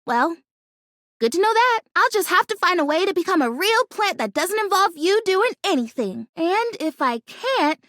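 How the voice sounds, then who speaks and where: A bubbly little fake houseplant from a video game, with a very high, lively voice that leaps around. She is naive and stubbornly hopeful, chattering emphatically with big dramatic flair. The sound is close and dry. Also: normal speed, dry recording, full character voice. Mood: stubborn, slightly hurt. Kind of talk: animated video game character acting.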